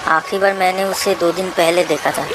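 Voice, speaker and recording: A woman plays a mild family-man boatman in a horror storybook. The talk is plain and conversational, in a register low for a woman. Noise is clearly audible behind. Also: fairly even intonation, a little fast, close to an everyday voice. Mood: concerned. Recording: noisy track with water ambience.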